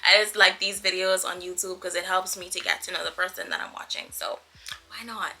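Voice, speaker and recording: A woman's voice: lowish voice, lively intonation, brisk, bright, forceful tone, faint music behind. A woman of twenty-seven from the Bahamas chatting casually to her viewers as a YouTuber, playful, effusive and slangy. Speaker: YouTuber, vlogger.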